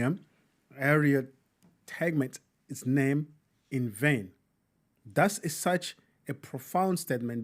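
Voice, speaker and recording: Man hosting a reaction commentary. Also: medium pitch. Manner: talks over the clip and repeats key lines, with interjections. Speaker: commentary host.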